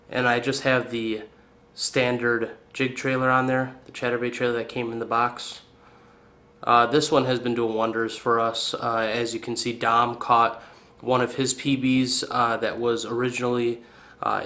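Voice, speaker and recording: A young man hosting a casual fishing video indoors, talking in a relaxed, hesitant way with frequent fillers, recorded on a camera mic at a counter. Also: mid-range voice. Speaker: fishing channel host. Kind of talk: casual tackle review. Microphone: camera mic on tripod.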